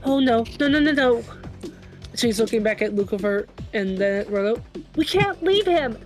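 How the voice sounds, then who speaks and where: A woman player at a tabletop game, animated and reactive, quick to exclaim. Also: fairly high pitch, faint music behind. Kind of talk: casual play at the table.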